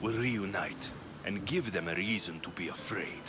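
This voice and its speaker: An actor playing a brooding, determined young Greek mercenary, terse, intense and solemn, in a dramatic video game scene. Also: male voice, sits low, normal speed, background noise, lightly acted. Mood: grim determination. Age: young adult. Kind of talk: dramatic game cutscene acting. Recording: music behind the voice.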